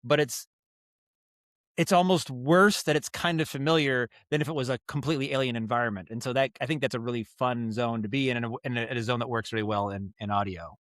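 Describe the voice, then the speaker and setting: A man with a medium-pitched voice talks as a podcast guest in a casual, conversational way. He tells stories in an animated, halting manner, acting out little noises as he goes. The sound is dry, with very little room. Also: no echo. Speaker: guest, audio drama writer and director. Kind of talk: casual podcast interview, storytelling.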